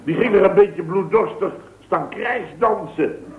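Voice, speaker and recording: A man's voice: high-pitched, unhurried. A man playing a boastful, rambling contractor in a 1960s radio comedy. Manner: rambling, boastful, full of tag words. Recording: tinny, echoey old radio broadcast.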